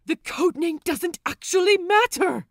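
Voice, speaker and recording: A woman voicing an excitable, exasperated young male pirate scribe with dramatic, emphatic delivery in a story reading. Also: extremely high pitch, a little slow, no room sound, clean studio sound, pitched above the performer's own voice, exaggerated voice. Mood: exasperated, excited.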